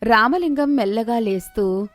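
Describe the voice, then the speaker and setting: A woman storyteller giving an expressive scripted narration of a moral tale. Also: on the high side, unhurried, faint music behind.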